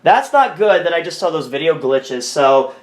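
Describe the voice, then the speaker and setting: A man's voice: fairly high pitch, big pitch swings, normal speed, roomy sound. A man casually commenting on his own screen recording, thinking aloud, rambling and often correcting himself. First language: English. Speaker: tech video creator.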